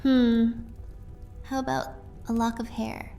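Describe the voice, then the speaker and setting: An actress playing an earnest yet sly fortune-telling witch in an audio drama, with a soft way of speaking. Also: fairly high pitch, flat delivery, slow pace, faint music behind. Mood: sly, playful.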